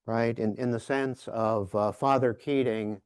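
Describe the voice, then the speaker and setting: A man giving a reflective, informal conference lecture, halting and repeating words as he emphasizes key phrases. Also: mid-range voice, fairly even intonation, clean tone, dry recording, studio quality. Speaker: professor giving a keynote workshop.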